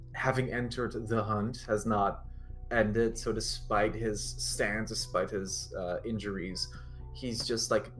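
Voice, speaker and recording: A man playing a tabletop roleplaying game, casually improvising his character's actions in a halting, tentative way. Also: on the low side, a bit bright.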